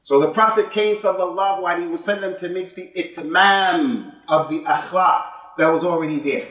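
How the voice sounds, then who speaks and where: A man's voice: high-pitched, moderate pace, a bit bright. A man giving an emphatic sermon in a mosque, repeating points and asking rhetorical questions, with Arabic religious terms mixed into his English. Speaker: imam giving Friday sermon. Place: mosque prayer hall. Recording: echoey hall, voice from some distance.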